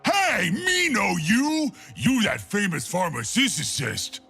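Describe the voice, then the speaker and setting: A man playing a big, dim-witted, crazed brute who thinks he is a doctor, in a video game, speaking gravelly broken grammar with a booming delivery. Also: big pitch swings, slow pace, sharp tone, soft background music, full character voice. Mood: delighted. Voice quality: gravelly, raspy. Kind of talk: video game character voice lines.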